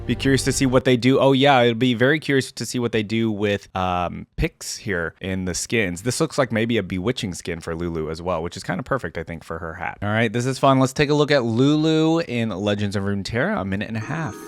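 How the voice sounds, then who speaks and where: A man's voice: lowish voice, brisk, few pauses, no room sound, clean studio sound. Casual man reacting over music. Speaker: reaction video host. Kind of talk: casual gaming reaction commentary.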